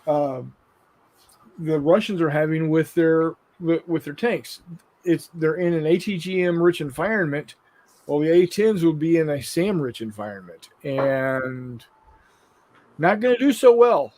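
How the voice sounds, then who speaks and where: A man's voice: fairly high pitch, expressive, measured pace, a little mellow. A man in his fifties chatting casually as a show host, blunt and free with swearing, reading out viewer comments. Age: 50s.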